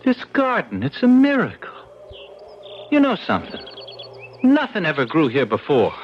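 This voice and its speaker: A blunt, excitable neighbor who turns defensive fast. His pitch jumps around a lot, and the sound is dull, like an old radio recording. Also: a little hiss or hum. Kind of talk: 1970s radio drama acting.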